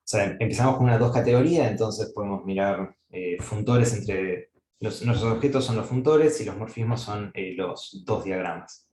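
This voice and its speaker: A man gives a university-style lecture, working through ideas aloud and pausing on fillers as he goes. His voice sits a little low. The recording carries a noticeable room echo. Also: dark tone. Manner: thinking aloud, frequent "eh" fillers and restarts. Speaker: mathematics lecturer. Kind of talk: academic lecture at a whiteboard.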